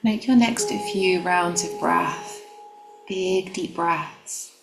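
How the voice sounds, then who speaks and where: A woman guiding a meditation in a gentle, calm, instructional manner. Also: fairly low pitch, extremely slow, creaky. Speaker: meditation guide. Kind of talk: guided meditation. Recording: roomy echo, music behind. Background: background music.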